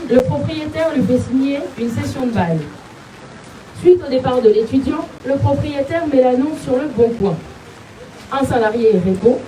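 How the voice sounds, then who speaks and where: A woman co-leading a tongue-in-cheek guided tour outdoors, speaking in a measured, presenter-like way. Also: high voice. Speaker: co-guide of a mock exhibition. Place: outdoors in front of a museum. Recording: distant mic with faint background noise.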